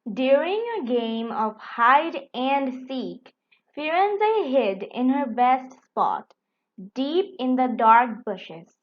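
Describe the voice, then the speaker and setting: A woman reading a children's picture book aloud in an expressive, animated storytelling style. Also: high-pitched, dull audio. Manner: deliberate, expressive delivery.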